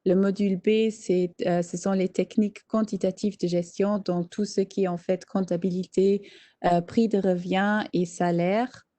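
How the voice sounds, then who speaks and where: A woman's voice: mid-range voice, level tone, unhurried, warm tone, no room sound. A woman whose first language is German, presenting an information session on a training course, with frequent hesitations and fillers. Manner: hesitant, many fillers, checks audience understanding. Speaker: training advisor.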